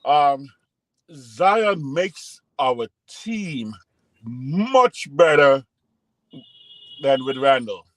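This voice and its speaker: A man's voice: mid-range voice, big pitch swings, drawn-out words, pauses often, fairly bright tone, dry recording. A man co-hosting a podcast, rambling and joking through a casual sports debate over a call and talking over others. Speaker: podcast co-host.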